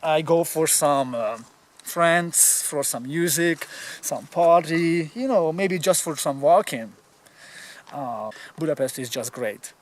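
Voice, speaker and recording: A man's voice: a little high, lively intonation, slow. A young Hungarian man with a Hungarian accent, chatting casually in English for a vlog outdoors in the cold, laid-back and swearing freely.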